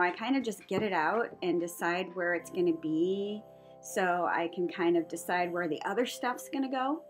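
A woman in her fifties giving a casual, rambling, chatty voice-over for a home vlog, prone to chuckling. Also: mid-range voice. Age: 50s. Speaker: craft vlogger. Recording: music behind the voice. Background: music.

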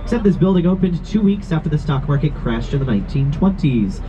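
A man with a slightly high voice delivers a joke-filled tour commentary with wry, deadpan timing. He is heard outdoors through a portable speaker, and the sound comes out dull and lo-fi. Loud city and boat noise runs underneath. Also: fairly quick. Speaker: tour guide. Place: outdoors on an open river boat among tall buildings.

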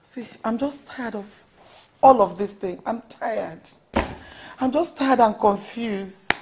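Weary, high-voiced Nigerian mother. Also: slow pace, noisy background. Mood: weary, pleading. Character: weary, worried mother who answers back. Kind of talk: melodramatic film acting.

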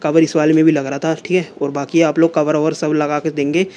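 Young male vlogger, chatty and repetitive, talking to a close phone cam; slightly high, flat delivery, faint room hiss. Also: fairly quick. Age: young adult. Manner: chatty, repeats filler words constantly. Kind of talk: casual vlog talk to camera. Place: small room indoors, then a seller's home.

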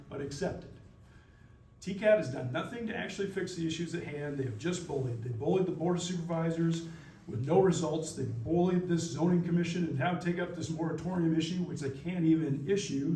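A man giving forceful, combative, legalistic testimony as a company's speaker at a public hearing. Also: a little high. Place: public meeting room. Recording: roomy, distant mic, faint noise.

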